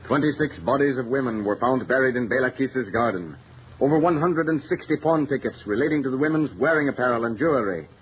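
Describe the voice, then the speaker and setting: A man's voice: medium pitch, quick pace. A man narrating an old-time radio mystery in a grave, theatrical manner on a muffled, hissy vintage recording.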